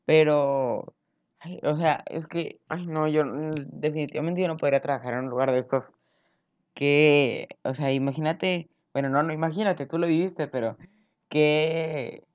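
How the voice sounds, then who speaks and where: Clear, higher-pitched young man. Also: unhurried. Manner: expressive, exclamatory, colloquial. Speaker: podcast host. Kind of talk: casual conversational reaction. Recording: dull, lo-fi sound.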